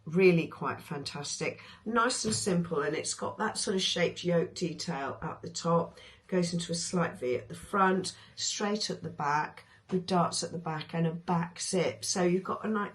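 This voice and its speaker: A woman with a hoarse voice, chatting casually about her favourite sewing patterns, with many ums and restarts. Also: lowish voice, unhurried. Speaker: sewing vlogger.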